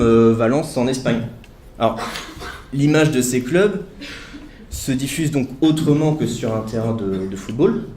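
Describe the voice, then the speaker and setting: A man's voice: medium pitch, mellow. A young man giving an academic talk at a study day, earnest, with many fillers and self-corrections. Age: young adult. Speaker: student speaker at a study day. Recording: echoey room.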